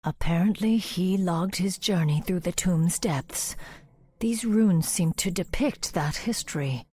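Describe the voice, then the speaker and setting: A woman playing a stern, determined elf warden in a video game, grave and commanding, with a breathy, husky voice. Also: lowish voice, unhurried, mellow. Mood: grave, pondering. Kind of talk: video game fantasy acting.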